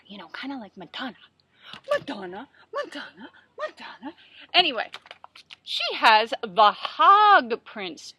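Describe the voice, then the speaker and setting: A woman's voice: sits high, pushed and bright, dry recording. A woman, a children's book author, talking through writing tips in a playful, self-deprecating way at home. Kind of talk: casual tips video.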